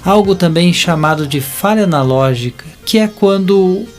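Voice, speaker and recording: A man's voice: high voice, lively intonation, moderate pace, faint music behind. A man with a Brazilian accent reading scripted story narration for an audio drama.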